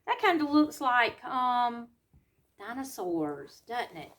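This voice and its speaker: A woman reads a storybook aloud to children, pausing to explain simply and ask them questions. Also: a little high, very animated, a bit bright, a little hiss or hum. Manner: simple explanatory asides and questions to young listeners.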